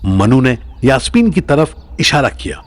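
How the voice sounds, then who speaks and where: A man's voice: medium pitch, very animated, unhurried. A man narrating a scripted audio drama in a dramatic storytelling style.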